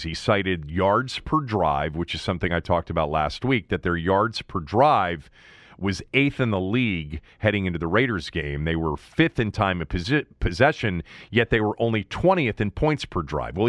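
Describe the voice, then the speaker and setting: A man's voice: lowish voice, fairly bright tone, dry recording, studio quality. A man hosting a sports talk show, explaining his analysis in an emphatic, conversational monologue, thinking aloud as he goes.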